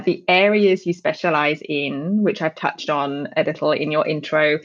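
Low-pitched woman host, careful measured questions, kinda dull lo-fi sound. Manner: measured, careful phrasing with some restarts. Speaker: podcast host. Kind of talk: scripted guest introduction and interview questions.

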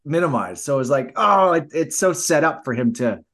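A man's voice: a little high, sing-song, normal speed, a bit bright. A man giving emphatic opinions in a casual movie discussion, often repeating his phrases.

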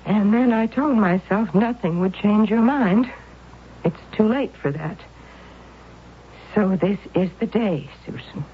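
A woman's voice: mid-range voice, slow, slight background noise, close to an everyday voice. A woman playing a protective old aunt, on a tinny broadcast. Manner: soothing, earnest. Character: devoted older aunt, anxious and protective.

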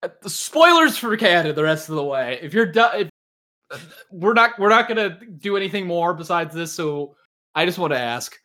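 A man's voice: sits high, expressive, normal speed, bright, forceful tone. A man hosting a casual podcast chat, teasing and swearing freely, with lots of slang. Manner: teasing, profane, heavy use of slang like "dude". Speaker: podcast host.

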